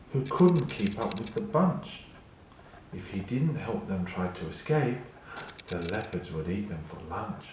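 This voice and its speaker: A man storyteller giving an expressive children's read-along narration. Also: lowish voice. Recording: very echoey room, faint noise.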